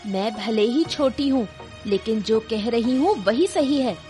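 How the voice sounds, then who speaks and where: A woman's voice: a little high, lively intonation, measured pace, mellow, faint music behind. A woman voicing a sensible, outspoken young daughter of a poor farmer in a cartoon story, plain-spoken and earnest. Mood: firm.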